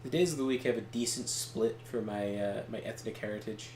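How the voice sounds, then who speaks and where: A man co-hosting a game stream, chatting casually in a halting, digressive way as he shares bits of trivia. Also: on the low side, darkish tone. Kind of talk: casual rambling chat. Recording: echoey room, faint background noise.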